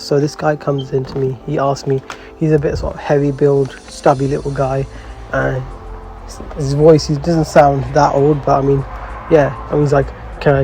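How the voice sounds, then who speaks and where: Slangy, mellow-voiced man chatting casually. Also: fairly high pitch, gravelly, rough recording. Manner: slangy, swearing, bemused, conversational. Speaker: host. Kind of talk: casual chat, storytelling. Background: background music.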